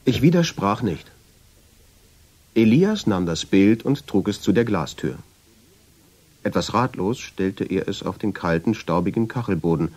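An actor playing a reflective traveller who tells his story in measured, deliberate radio drama narration, with a husky voice. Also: lively intonation, darkish tone. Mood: brooding, fascinated. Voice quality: low, husky voice. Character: reflective male traveller, drawn and obsessive, telling his story. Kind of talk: literary radio drama narration in first person.

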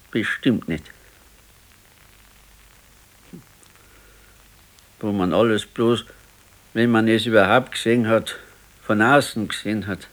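A man's voice: fairly low pitch, close to an everyday voice, rough recording. Brooding old Bavarian husband, played by a man. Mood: discontented. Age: 60s. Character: discontented, regretful ailing 68-year-old husband. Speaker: radio drama actor. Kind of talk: naturalistic 1970s radio play dialogue in dialect.

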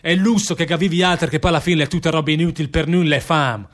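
Guy acting bitter tired anarchist prisoner, lombard accent, fairly high male voice, radio play speechifying. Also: fast, a bit bright, natural voice. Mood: bitter, accusing. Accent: northern Italian, Lombard. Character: condemned Italian anarchist emigrant worker, defiant, bitter, weary. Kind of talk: stage-style radio drama monologue in dialect.

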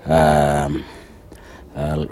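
Very deep-voiced hesitant man. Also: monotone, mellow. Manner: hesitant, many pauses and fillers. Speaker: interviewee. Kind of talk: halting interview answers in English.

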